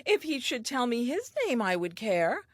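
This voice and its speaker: An actress playing a talkative, eager and self-assured first woman telling her diary in a comic audio play, earnest and expressive. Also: fairly high pitch, normal speed, no room sound, clean studio sound. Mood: hopeful, wistful.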